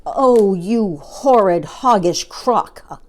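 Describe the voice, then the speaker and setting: Woman playing an excitable, emphatic storybook monkey. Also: fairly high pitch, moderate pace, voice pushed up. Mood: outraged. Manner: exclaiming, emphatic. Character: excitable, nervous, indignant male monkey.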